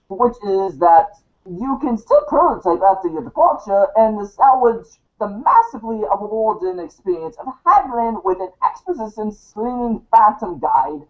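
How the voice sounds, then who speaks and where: A woman playing a verbose, dryly self-important guide writer, delivering a formal, arch monologue for a storybook show, halting in places. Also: medium pitch, close to an everyday voice. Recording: dull, lo-fi recording.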